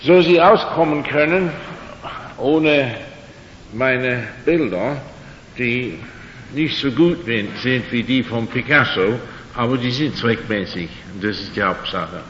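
An older man speaking German as a second language, giving an evening talk on faith with dry humor and frequent hesitations. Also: fairly bright tone. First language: not German. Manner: slow, halting, with dry humor and many fillers. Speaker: lecturer. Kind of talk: evening lecture on creation and faith. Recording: dull, echoey hall recording with faint rumble.

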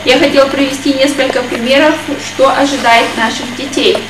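A woman interprets a sermon phrase by phrase and reads scripture aloud steadily and carefully. Her voice is high. She is recorded from far away in an echoey hall, with clear background noise. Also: fairly even intonation, moderate pace. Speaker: interpreter, preacher's wife. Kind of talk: consecutive interpreting and scripture reading. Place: church hall.

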